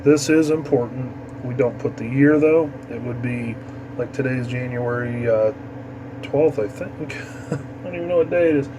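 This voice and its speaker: A man explains a procedure step by step in an informal, halting tutorial manner, like an instructor walking someone through it. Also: medium pitch. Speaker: amateur radio instructor. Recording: noisy, steady background hum.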